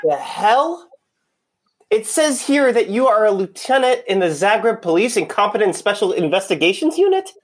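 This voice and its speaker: Hapless male undercover cop for an audio play, played by a man: blunt, sulky, incredulous, with widely swinging pitch. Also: sing-song, moderate pace. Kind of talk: two-person audio play acting.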